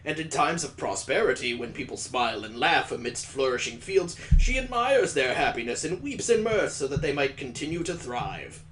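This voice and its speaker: A man's voice: mid-range voice, big pitch swings, moderate pace, few pauses, fairly bright tone, slight background noise, poor audio. A man playing a story game, reading its text aloud and breaking in with wry, mocking asides. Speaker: let's play host.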